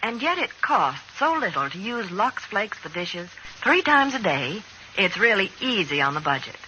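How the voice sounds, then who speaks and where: Very bright woman in vintage radio ad. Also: medium pitch, sing-song, moderate pace, highs cut off. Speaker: radio commercial announcer. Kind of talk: scripted 1940s radio advertising. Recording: bright, noisy old radio recording.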